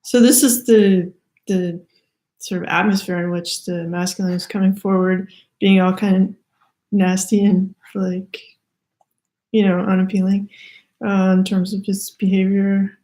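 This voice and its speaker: A woman with a Canadian accent giving a halting, thoughtful spiritual card reading, full of fillers and restarts. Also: medium pitch, flat delivery, low-quality recording. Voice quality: soft-spoken, hesitant, many fillers and restarts. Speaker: tarot reader.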